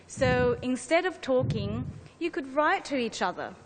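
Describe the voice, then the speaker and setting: A woman's voice: sits high, expressive, measured pace, very noisy. A woman whose first language is Chinese, explaining carefully in a scripted conference presentation with a co-presenter. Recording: long hall reverb.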